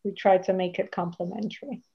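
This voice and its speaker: A woman's voice: mid-range voice, steady tone, brisk, vocal fry, husky. A woman from Luxembourg, a magazine founder, answering interview questions in a matter-of-fact way over a video call. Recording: slightly dull sound.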